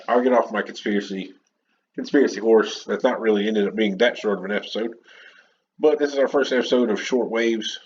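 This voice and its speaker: A very low-voiced American man delivers an off-the-cuff opinion monologue as a podcast host. His talk is casual and wandering. The audio is dull and lo-fi, with some faint noise behind him. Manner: rambling, conversational.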